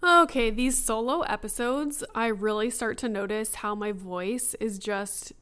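Young female podcast host, solo episode, casual and rambling, smooth but slightly raspy voice. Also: fairly high pitch, measured pace, fairly bright tone. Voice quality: raspy after long talking. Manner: chatty, frequent fillers like "you know" and "like". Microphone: laptop recording setup.

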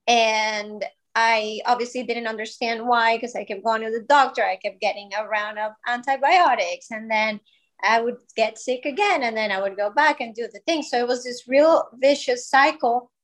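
A woman's voice: on the high side, measured pace, a bit bright. A woman in her thirties from Colombia, telling her own story as a podcast guest in a candid, informal, conversational way. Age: 30s. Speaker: podcast guest, wellness business founder.

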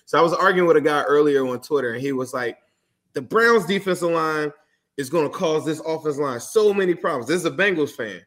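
A man's voice: fairly high pitch, lively intonation, a little fast, fairly bright tone. Chatty man hosting a fan podcast. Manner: chatty, rambling, enthusiastic fan questions. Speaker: podcast host. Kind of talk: casual podcast interview.

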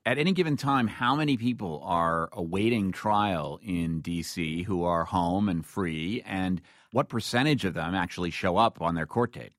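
A man's voice: deep voice, normal speed, hardly any pauses, no echo, studio quality. A man hosting an interview, asking questions in a direct, plain-spoken, conversational way. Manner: direct, plain-spoken, rephrases points colloquially. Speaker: interviewer, host.

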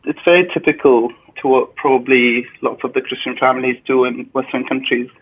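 A young man answers interview questions in a soft, thoughtful way, now and then restarting a phrase. His voice sits at a middle pitch for a man. It comes through thin and tinny, like a phone call. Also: flat delivery, brisk, slightly rough. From Syria. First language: Arabic. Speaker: podcast guest. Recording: tinny phone-line sound.

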